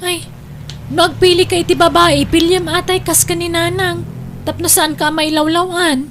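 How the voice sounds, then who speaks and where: A woman's voice: extremely high pitch, flat delivery, normal speed, fairly bright tone, slight background noise. A woman playing a nagging, worried older sister in an audio drama, with an Ilocano accent. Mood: scolding. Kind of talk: Ilocano radio-style audio drama acting.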